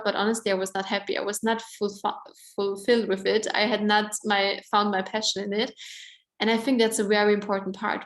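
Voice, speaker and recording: A woman's voice: medium pitch, fairly bright tone, echoey room. A woman in her twenties with an Austrian German accent, presenting at an online event in a chatty way, full of "like" and "um". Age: 20s. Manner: chatty, many "like" and "um" fillers.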